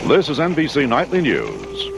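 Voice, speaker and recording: American TV news announcer, swooping intonation. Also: male voice, medium pitch, huge pitch swings, normal speed, gravelly, heavy background noise. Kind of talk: formal scripted broadcast news announcing. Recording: archival TV broadcast, music underneath.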